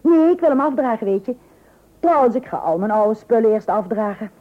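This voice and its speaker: A woman's voice: a little high, animated, a little fast. An actress playing a stubbornly thrifty, teasing housewife and mother in a radio comedy, breezy and insistent. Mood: evasive, breezy. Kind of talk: Dutch radio comedy play acting. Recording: dull, lo-fi old radio recording.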